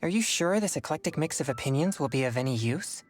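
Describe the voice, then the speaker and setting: A woman's voice: deep voice, animated, fast talker, soft background music. A woman playing a polite, earnest, mild teenage boy in a video game, slightly hesitant.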